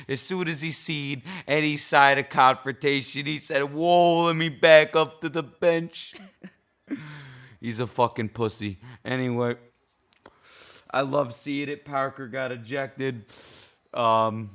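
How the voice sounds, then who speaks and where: A man in a hoarse, cracking voice, a little drunk, giving a profane, heated, rambling reaction to a football game. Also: mid-range voice, a bit bright. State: hoarse, intoxicated. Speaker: sports fan vlogger. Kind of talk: casual game reaction rant.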